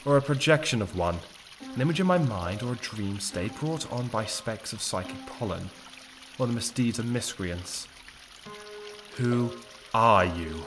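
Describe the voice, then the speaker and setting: A man's voice: lowish voice, expressive, a little slow. A man playing a weary, self-doubting old Doctor, an eccentric time traveller, theatrical, wry and wordy in an audio drama. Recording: music behind the voice.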